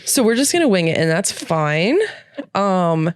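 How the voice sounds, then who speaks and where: A woman's voice: lowish voice, sing-song, a little slow, clean tone, no room sound, clean studio sound. A woman hosting a casual, unscripted podcast from a bed, rambling, giggly and self-deprecating. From Ontario, Canada. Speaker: podcast host. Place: hotel room, lying in bed.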